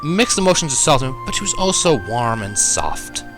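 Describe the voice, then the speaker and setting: A man reading a first-person story aloud as an expressive narrator. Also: medium pitch, normal speed, over music. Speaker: fan fiction reader, narrator.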